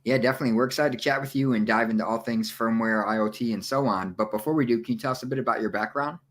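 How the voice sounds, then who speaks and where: A man hosting an interview podcast, asking questions in a quick, friendly way. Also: medium pitch, fairly bright tone. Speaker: podcast host.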